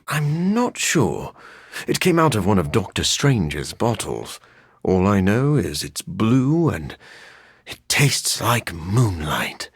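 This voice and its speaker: An actor playing a sophisticated, bookish vampire scientist in a video game, speaking in an articulate, formal way with dry wit and a raspy edge. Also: male voice, on the low side, fairly bright tone, dry recording, exaggerated voice. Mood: wry, reflective. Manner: articulate, formal, dryly witty. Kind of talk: video game character voice lines.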